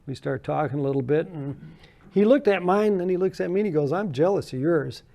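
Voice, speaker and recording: A man's voice: on the high side, lively intonation, brisk, creaky, dark tone. An older American man, a guest in an interview, chatting casually and telling anecdotes about his hobby with frequent laughs. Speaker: guest model builder.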